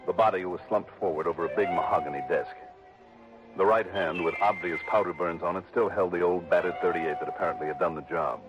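A male actor plays a shrewd American insurance investigator in an old radio drama. His voice sits low, with a dry, clipped and probing delivery. The recording is muffled, with music behind him. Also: quick pace, close to an everyday voice. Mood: dry, narrating. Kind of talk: early 1960s American radio drama acting.

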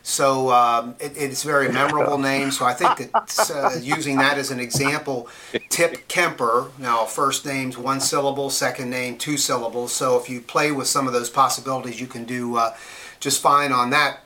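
A man's voice: mid-range voice, fairly even intonation, normal speed, pushed and bright, close to an everyday voice. A man playing a folksy, self-promoting male state senator who earnestly explains a step-by-step tutorial in a comic video. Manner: earnest, plodding tutorial delivery.

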